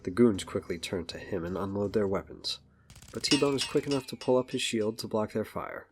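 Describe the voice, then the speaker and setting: A man's voice: lowish voice, expressive, normal speed. A man narrating a scripted action story as the narrator of an audio drama.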